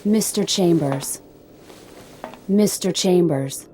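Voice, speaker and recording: A woman's voice: lowish voice, slow pace, mellow, slight background noise. A woman playing a polite, insistent ship computer in a radio drama, speaking in a formal, clipped, emotionless way. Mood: polite, flat.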